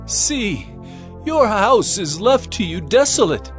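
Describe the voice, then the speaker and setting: Male voice, stern prophet, declamatory, fairly high, widely swinging pitch, audio drama, music behind. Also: sing-song, slow pace, fairly bright tone, heavily acted. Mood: anguished lament. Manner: declamatory, solemn, emphatic. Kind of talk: dramatized scripture reading.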